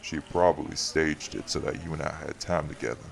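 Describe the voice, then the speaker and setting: Man playing a very deep, gravelly vampire lord. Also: fairly even intonation, full character voice. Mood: wry, fond. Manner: measured, dry, teasing. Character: male vampire city leader and party host, weary, wry, protective. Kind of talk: audio roleplay drama acting.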